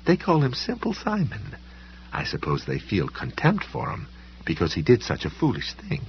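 A man voicing a polite, condescending woman librarian in an audiobook story, with a smooth, quietly menacing manner. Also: medium pitch, huge pitch swings, moderate pace, highs cut off, a little hiss or hum, voice pushed up. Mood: smoothly dismissive. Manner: smooth, coolly dismissive, faintly patronizing.

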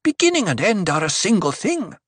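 Young prophetess, as played by a narrator, high-pitched, fierce, commanding, incantatory, audiobook drama. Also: slow pace, no room sound, studio quality. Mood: sharp, angry. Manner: commanding, ritual intoning that turns sharp. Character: fierce young prophetess intoning cryptic oracles to pilgrims.

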